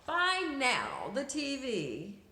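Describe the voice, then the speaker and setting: A woman reading a picture book aloud for children, with dramatic, deliberate emphasis, in an echoey room. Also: high-pitched, wildly swooping pitch, pushed and bright. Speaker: children's story reader.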